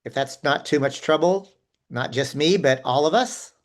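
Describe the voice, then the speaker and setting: A man's voice: fairly high pitch, moderate pace. A man leading a participatory online workshop over a video call, inviting people in and thinking aloud with fillers. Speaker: workshop facilitator.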